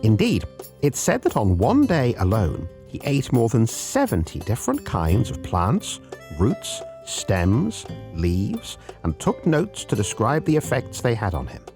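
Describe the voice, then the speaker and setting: A man's voice: medium pitch, huge pitch swings, unhurried, music in the background. A man narrating a scripted folk tale as a podcast storyteller, theatrical and playful, speaking directly to the listener.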